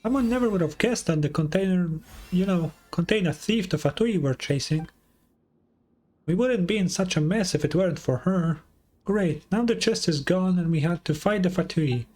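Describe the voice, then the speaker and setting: A man voicing a small, excitable female fairy companion in a video game, whiny, stammering and easily flustered. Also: sits high, moderate pace, soft background music. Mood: indignant. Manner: flustered, stammering, refers to self by name.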